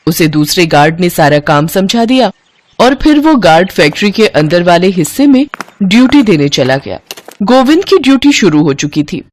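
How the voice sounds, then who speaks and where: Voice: mid-range voice, very animated, normal speed, slight background noise. A narrator telling a moral story in a scripted storytelling style.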